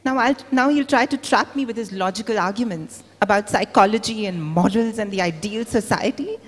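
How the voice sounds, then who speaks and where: A woman reads a story aloud to an event with dramatic delivery. Her voice sits at an average pitch, and the large room adds a long, echoing tail. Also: brisk, slightly rough. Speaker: reader at a book event. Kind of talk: dramatic literary reading aloud. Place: large indoor event venue. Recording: echoey hall.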